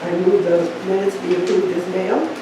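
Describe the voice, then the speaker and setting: A woman's voice: lowish voice, fairly even intonation, a little fast. Distant woman speaking at a meeting. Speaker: board member. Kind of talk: informal meeting talk and short reading. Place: public meeting room, indoors. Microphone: distant room microphone. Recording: very echoey, noisy room, distant mic.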